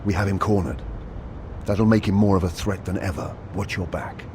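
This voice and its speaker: An actor playing a cold, iron-willed inquisitor in a video game, speaking in a grave, measured, deliberate way. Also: male voice, low-pitched, fairly even intonation, background noise, natural voice. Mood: terse, wary. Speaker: protagonist and narrator. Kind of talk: scripted dramatic narration in a video game.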